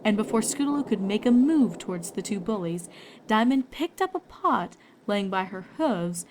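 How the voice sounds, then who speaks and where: A woman's voice: mid-range voice, expressive, normal speed, a little mellow, poor audio. Woman narrating fiction over background noise. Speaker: storyteller.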